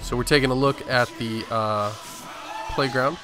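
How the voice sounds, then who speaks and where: A man's voice: medium pitch, steady tone, measured pace, bright, forceful tone. An American man from Arizona hosting a gaming video with casual gameplay commentary. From Arizona, United States. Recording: voice-over with faint game music.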